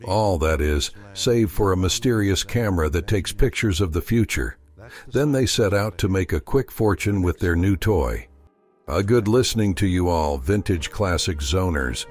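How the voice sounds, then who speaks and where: A man's voice: sits low, animated, normal speed. A man hosting, reading a scripted introduction to a radio story. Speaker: host announcer.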